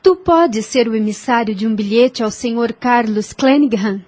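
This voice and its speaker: Woman acting gentle young heroine, higher voice, breathy edge, formal sentimental delivery, audio drama. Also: normal speed, close to an everyday voice. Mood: anxious. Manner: earnest, formal, sentimental diction. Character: gentle, devout, hopeful young woman in love. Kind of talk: Spiritist radio drama acting.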